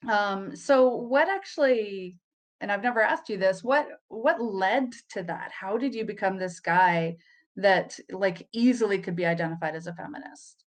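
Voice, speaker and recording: A woman's voice: mid-range voice, normal speed. A woman hosting a casual interview, asking questions in a friendly, chatty way with easy laughs. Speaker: host.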